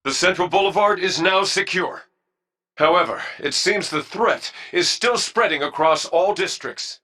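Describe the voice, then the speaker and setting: Game casting: fierce soldier, high sharp voice, formal and theatrical. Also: male voice, a little slow, full character voice. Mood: stern, formal. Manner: forceful, theatrical. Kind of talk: video game cutscene acting.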